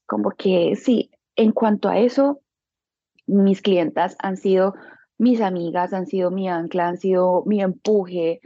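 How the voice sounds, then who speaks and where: A woman's voice: mid-range voice, measured pace, dry recording, highs cut off. A woman with a Colombian accent telling personal stories casually and colloquially, expressively acting out what people said. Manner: colloquial, expressive, acts out quoted dialogue. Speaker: interview guest, beauty business owner.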